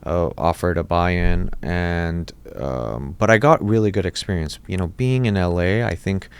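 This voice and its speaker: A man's voice: low voice, unhurried, smooth, no echo. A dentist in his thirties, born in Iraq and raised in Los Angeles, telling his story in a casual interview, with many restarts and fillers. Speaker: dentist, podcast guest.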